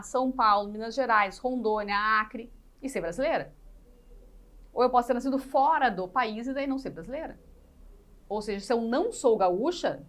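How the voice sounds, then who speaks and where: Casting: female teacher, fairly high voice, didactic explainer who repeats points and asks questions. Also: fairly bright tone. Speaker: teacher in an exam-prep class. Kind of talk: live lesson explaining exercises step by step.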